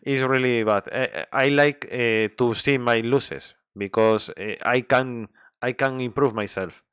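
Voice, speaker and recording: Slow, halting man speaking English. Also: medium pitch, a bit bright, clean tone, dry recording, studio quality. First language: Spanish. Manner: halting, frequent restarts and fillers; laughs often. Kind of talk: casual group chat in English.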